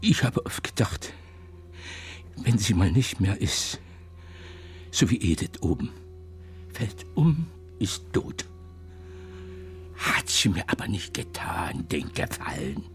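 An actor playing a guilt-laden, evasive older widower in a radio drama, clipped and muttering, with a breathy voice that often sinks near a whisper. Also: male voice, low-pitched, very animated, soft background music, heavily acted. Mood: resigned, bitter. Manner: halting, clipped, muttering colloquially. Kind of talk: German radio drama acting.

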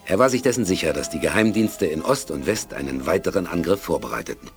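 An actor narrating a German science fiction audio drama as a sober storyteller. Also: male voice, sits low, lively intonation, fast, music in the background. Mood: measured, suspenseful.

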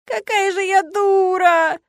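A woman's voice: very high-pitched, steady tone, takes it slowly, dry recording, voice pushed up, full character voice. A woman voicing a lovesick, self-reproaching young witch with a dramatic, weepy delivery in an audiobook. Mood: wailing despair. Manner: wailing, sobbing.

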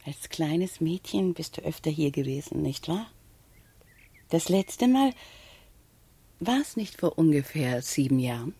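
A middle-aged widow with an air of the femme fatale in a radio crime drama. The voice is deepish and husky. Every phrase is gracious, formal and very unhurried. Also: female voice, lively intonation, warm tone, heavily acted. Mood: gracious, wistful. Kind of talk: 1970s German radio drama acting.

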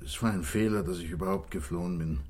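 An actor playing a contrite, desperate fugitive from a wealthy life, earnest and pleading, in 1960s radio play style. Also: male voice, sits low, fast, a little mellow. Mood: subdued, pleading.

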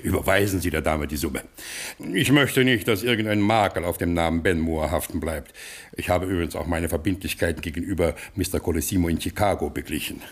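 Deep, commanding reformed gangster boss. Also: male voice, fairly quick, husky. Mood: brisk, imperious. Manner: imperious, solemn, decisive. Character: commanding reformed American gangster boss playing solemn gentleman. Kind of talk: German radio play acting.

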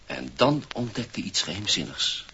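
A man's voice: lowish voice, big pitch swings, moderate pace, sharp tone. A man with a Dutch accent giving theatrical, suspenseful scripted narration for a 1960s radio play. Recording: very noisy, dull old vinyl record.

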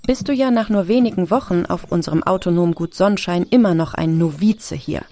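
A woman's voice: lowish voice, fairly quick, mellow, a little hiss or hum, close to an everyday voice. A woman playing an earnest, idealistic farm teacher in an audio drama. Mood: dry, familiar. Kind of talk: German audio drama dialogue.

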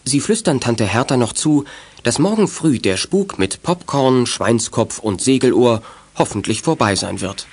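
A man's voice: medium pitch, lively intonation, a little slow, breathy edge. A man narrating a children's audio drama in a scripted storytelling style.

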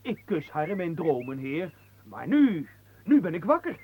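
Radio play manservant: exuberant, playful, high-pitched and very animated. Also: male voice, measured pace, exaggerated voice. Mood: wry. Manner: eager, exclamatory, playful. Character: cheeky, exuberant, lusty manservant to a nobleman. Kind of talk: theatrical radio drama acting. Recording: radio play with clear background noise.

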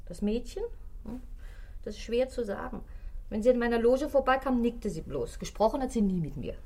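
Eine Schauspielerin spielt in einem deutschen Hörspiel eine geschwätzige, ausweichende Concierge, die zögernd und vertraulich redet. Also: female voice, on the high side, lively intonation, moderate pace, mellow. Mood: evasive, confiding. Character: gossipy, evasive, money-minded Parisian concierge woman. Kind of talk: German radio play acting.

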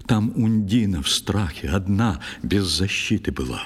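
A man narrating an audio play in scripted verse, with a theatrical, expressive literary delivery. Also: sits low, unhurried, husky.

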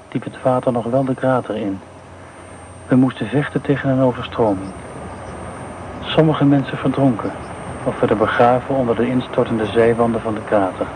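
An actor playing a brooding man haunted by war memories, halting and repeating phrases, in a radio play. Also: medium pitch, background noise, close to an everyday voice.

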